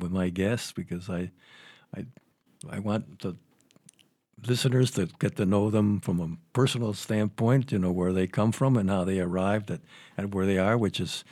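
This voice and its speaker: A man's voice: sits low, dark tone, dry recording. A man hosting an interview show, rambling and stammering through repeated words. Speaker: show host.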